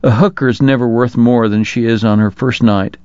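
A man's voice: mid-range voice, steady tone, normal speed, a little mellow, clean tone, no echo, dull audio, natural voice. A man voicing a tired, jaded young woman of the Old West in an audiobook, plain-spoken and weary. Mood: weary, bitter. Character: tired, jaded teenage frontier prostitute girl confessing.

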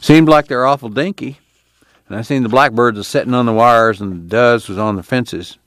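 A man voicing a weary, ashamed farmer father with a slow rural drawl, far deeper than his own voice. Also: fairly bright tone, no room sound, natural voice. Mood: quiet.

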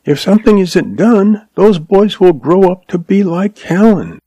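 A man reading aloud, voicing a young frightened woman schoolteacher in a higher, pleading voice. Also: lively intonation, a little slow, mellow, breathy edge, no echo, heavily acted. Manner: pleading, quivering.

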